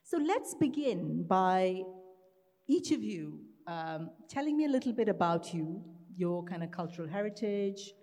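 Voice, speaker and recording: A woman's voice: mid-range voice, lively intonation, a little slow, mellow. Lady moderator at a live panel, upbeat, big reverby hall sound. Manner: upbeat, laughs at her own stumbles. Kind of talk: live panel introductions and questions. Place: live event hall with audience.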